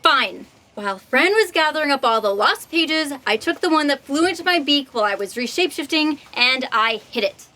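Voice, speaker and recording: A woman's voice: high-pitched, lively intonation, normal speed, hardly any pauses, pushed and bright. A woman playing a miserable, defiant little bird in a comic audio drama, sullen and taunting with a dramatic delivery. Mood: grudging.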